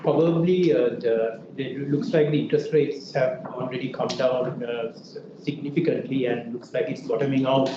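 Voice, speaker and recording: A man giving a hesitant, measured financial answer as a bank treasury head. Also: medium pitch, steady tone. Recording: muffled, echoey, noisy call audio.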